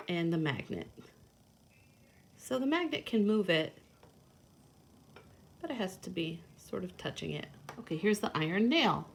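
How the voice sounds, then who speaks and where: A woman giving a hands-on read-aloud lesson for young children, explaining simply and step by step as she thinks aloud. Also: medium pitch. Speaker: children's teacher reading aloud and demonstrating. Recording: noticeable background noise, homemade video.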